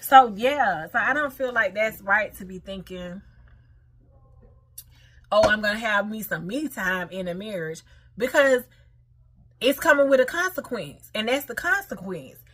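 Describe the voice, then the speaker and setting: A woman's voice: mid-range voice, animated, a little slow, long pauses, a bit bright. Female American online host, conversational and informal, mixing read-aloud lesson material with her own off-the-cuff commentary. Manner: informal, conversational, asks listeners rhetorical questions. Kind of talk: casual talk-through of read material with personal commentary.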